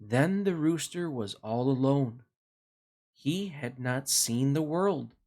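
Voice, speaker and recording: A man hosting a children's read-along, narrating a picture book expressively with deliberate, sing-song emphasis for young listeners. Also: medium pitch, lively intonation, a little mellow.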